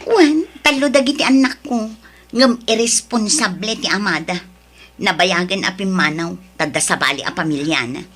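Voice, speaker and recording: Bright-voiced demanding Ilocano woman. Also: medium pitch, normal speed, slightly rough. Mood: suspicious, probing. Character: demanding, snobbish single mother, sharp-tempered. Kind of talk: Ilocano radio drama acting.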